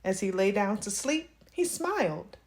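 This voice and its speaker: A woman reading a children's storybook aloud with expressive, dramatic emphasis on key words. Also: mid-range voice, a little slow. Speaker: children's read-aloud host.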